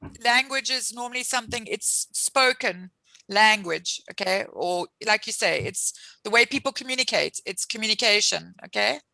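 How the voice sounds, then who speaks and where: A woman's voice: medium pitch, normal speed, creaky, pushed and bright, no echo, rough recording. A woman teaching an English lesson over a video call, patiently explaining words with examples and encouraging her students.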